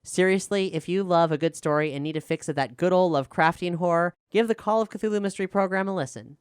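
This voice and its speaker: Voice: medium pitch, fast talker, smooth, dry recording, clean studio sound. A podcast host talking earnestly and articulately in a question-and-answer discussion.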